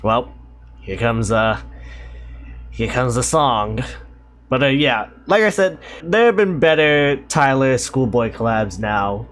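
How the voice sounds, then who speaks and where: A man's voice: mid-range voice, very animated, slow pace. A man casually reacting to an album, rambling and hesitant, joking and now and then singing along. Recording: music playing clearly behind. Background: song playing loudly.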